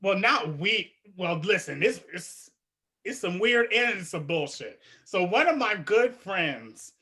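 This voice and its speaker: A young woman chatting casually as host, sassy and animated, laughing often. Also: medium pitch, slow pace, husky. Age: young adult. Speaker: podcast host. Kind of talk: casual chat.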